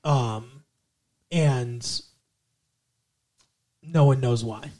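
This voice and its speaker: Man, podcast co-host, casual movie chat, rambling, mid-pitched. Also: very slow, mellow. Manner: rambling, restarts often, explains plot.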